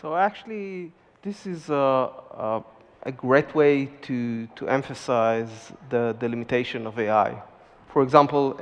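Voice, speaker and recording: A middle-aged man on a conference panel, speaking haltingly and repeating words as he restarts phrases. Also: medium pitch. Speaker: startup CEO panelist. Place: large conference stage. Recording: reverberant hall, dull lo-fi sound.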